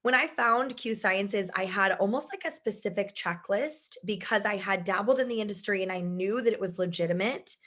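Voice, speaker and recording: A woman's voice: medium pitch, normal speed, hardly any pauses, a bit bright. Woman, 30s, bubbly, laughs a lot, strained voice, video call, home room. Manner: bubbly, chatty, laughs often. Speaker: business presenter.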